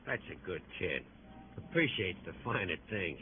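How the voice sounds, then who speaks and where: An actor playing a weary, wry ex-convict dressed as Santa in an old radio drama, gruff and wisecracking. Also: male voice, low-pitched, lively intonation, normal speed, slight background noise. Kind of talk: 1940s radio acting. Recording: tinny old radio broadcast.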